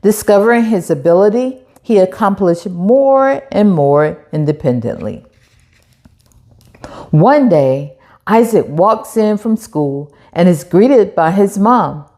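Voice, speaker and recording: A woman's voice: mid-range voice, animated, warm tone. A woman hosting a children's storytime, speaking directly and encouragingly to young listeners as she reads aloud. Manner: encouraging, addresses children directly, deliberate phrasing. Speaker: storytime host. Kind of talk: children's read-aloud narration.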